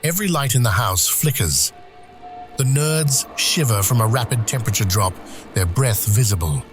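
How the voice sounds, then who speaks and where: A man's voice: medium pitch, expressive, moderate pace, music in the background. A man reading scripted screenplay narration for an audio drama.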